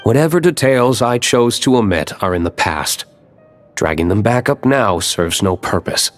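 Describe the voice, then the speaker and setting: A man's voice: fairly low pitch, expressive, normal speed, close to an everyday voice. A man playing a guarded, brooding nobleman and former knight in a video game, reserved, terse and serious. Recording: background music under the voice.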